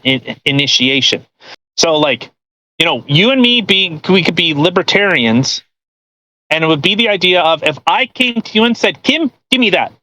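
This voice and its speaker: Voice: mid-range voice, pushed and bright, rough recording. Crude, mocking podcast co-host. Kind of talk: casual commentary while reading a post aloud.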